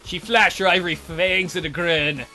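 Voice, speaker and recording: A man's voice: sits high, moderate pace, sharp tone, faint music behind. A man hosting a let's play, reading a visual novel aloud and breaking off to add irreverent, amused comments.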